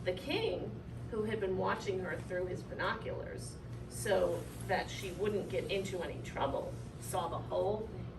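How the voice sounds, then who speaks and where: A woman reading a children's story aloud in an expressive, animated way, drawing out key words for effect. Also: medium pitch, unhurried. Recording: roomy, distant mic, clearly noisy.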